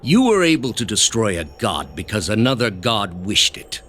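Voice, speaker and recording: An actor playing a cold, pompous male grandmaster of an ancient zealous order in a video game, speaking deliberately and with condescension. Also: fairly low pitch, sing-song, a bit bright, a little hiss or hum. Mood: scornful, imperious. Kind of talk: dramatic video game dialogue.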